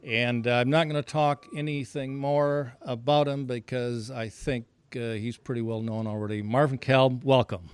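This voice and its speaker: A man's voice: mid-range voice, fairly even intonation, moderate pace, few pauses, a little hiss or hum. A man hosting a club meeting, giving an informal introduction of a speaker.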